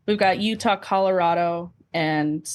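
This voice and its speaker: A woman's voice: fairly low pitch, measured pace. A woman guesting on a podcast, answering questions in an informal, conversational way. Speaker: podcast guest, amateur athlete. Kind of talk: casual interview answers.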